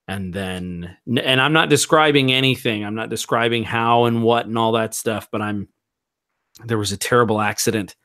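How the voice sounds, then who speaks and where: A man playing a roleplaying game, improvising his story in a halting, deliberate way as he thinks aloud. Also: lowish voice, a bit bright, no room sound, studio quality.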